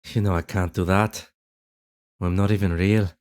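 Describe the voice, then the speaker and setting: A man acts out a tender young sweetheart from a dream in an audio drama. His voice is low and dark, and he speaks with gentle, earnest reassurance. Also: steady tone, normal speed, close to an everyday voice. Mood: tender, reassuring. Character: tender young Irish man, ghostly lost love in a dream. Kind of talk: fan audio drama acting.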